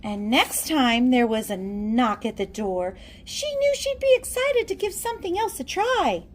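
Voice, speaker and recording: High, very animated woman storyteller. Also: a little hiss or hum. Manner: theatrical, deliberate storytelling. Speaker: storybook reader. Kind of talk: children's read-aloud narration.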